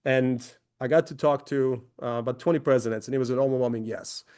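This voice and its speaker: A man's voice: medium pitch, fairly even intonation, fast, darkish tone. A man in his forties from Croatia, a company founder giving a conversational presentation with many fillers and restarts. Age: 40s. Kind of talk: webinar presentation.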